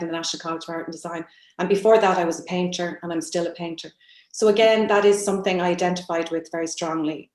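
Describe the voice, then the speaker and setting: An Irish woman, a novelist on a panel, talking reflectively about her writing in a halting, thoughtful way. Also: lowish voice, a little mellow. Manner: halting, thoughtful, searching for words. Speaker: novelist guest on a literary panel. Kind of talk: reflective interview answer about own writing. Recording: roomy, echoing sound.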